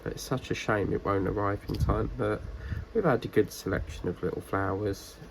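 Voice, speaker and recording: Man, low gravelly voice, croaky and congested, rambling chat, faint background hiss. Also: normal speed. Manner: rambling, chatty, many fillers and restarts. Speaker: diamond painting vlogger. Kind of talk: casual chat while crafting.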